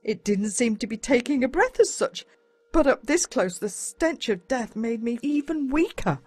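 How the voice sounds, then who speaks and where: A woman with an Australian accent reads a first-person story as a dramatic, expressive audiobook narrator. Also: a little high, normal speed, breathy edge, dry recording.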